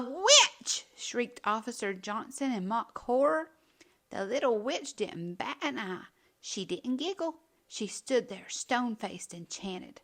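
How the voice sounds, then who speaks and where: A woman reading a children's picture book aloud as a storyteller, in an animated narrating style. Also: fairly high pitch.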